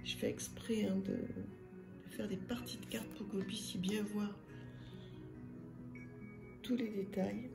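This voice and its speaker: A slightly hoarse woman hosting her own channel, reviewing card decks in a soft, hesitant way full of fillers. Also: mid-range voice, steady tone, slow pace, long pauses, roomy sound, over music. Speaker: tarot and oracle channel host. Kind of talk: casual card deck review.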